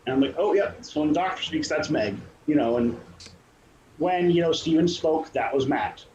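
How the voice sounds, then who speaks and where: A man with a fairly high voice talks casually as a director in a panel discussion. He rambles and rephrases as he goes. The sound is echoey and a little dull, with faint noise. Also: expressive, normal speed, a little mellow. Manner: rambling, repeats and rephrases himself. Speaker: radio play director and troupe leader.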